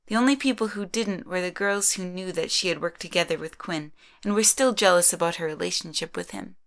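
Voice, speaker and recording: A woman's voice: lowish voice, brisk. A woman narrating a scripted story in the third person.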